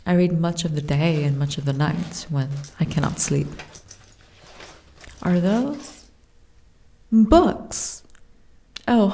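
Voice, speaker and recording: Giantess princess for fantasy ASMR, played by a woman: dark mellow tone, leisurely pace, formal and gently weary. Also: lowish voice. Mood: delighted, wistful. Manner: formal, genteel, old-fashioned diction.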